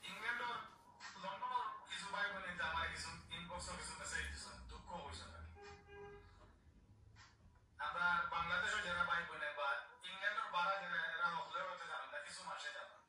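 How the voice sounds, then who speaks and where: A man from Bangladesh, rapidly explaining something to viewers in a clip. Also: high voice, bright, forceful tone, soft background music. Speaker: vlogger in a clip being reacted to. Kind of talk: casual address to viewers. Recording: echoey, distant playback of a clip.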